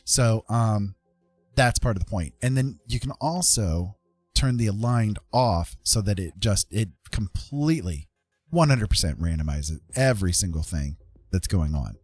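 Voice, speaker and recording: A man with a lowish, slightly raspy voice hosts a relaxed art stream. He talks informally and rambles as he explains what he is doing. Faint music plays behind his voice. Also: a little slow, dry recording. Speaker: livestream host. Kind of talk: casual chat while demonstrating digital art.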